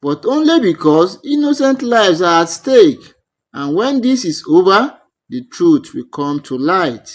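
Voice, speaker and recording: A man plays a wronged healer woman in a village folktale. She is dignified and firm, speaking very slowly and with measured calm, her pitch moving expressively. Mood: resolved.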